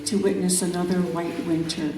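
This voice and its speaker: A woman poet reading her own work at a live event, in measured, deliberate phrases with pauses. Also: fairly low pitch, level tone, background noise. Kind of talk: poetry reading at a live event, with brief spoken introductions. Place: echoey room at an arts event. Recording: very echoey room, distant mic, music.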